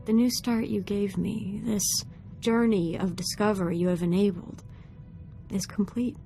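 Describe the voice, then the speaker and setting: An actress playing a young, earnest, troubled Sith apprentice in a video game, speaking gravely and formally. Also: female voice, medium pitch, steady tone, unhurried, warm tone, soft background music. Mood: solemn, drained. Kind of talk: dramatic video game voice acting.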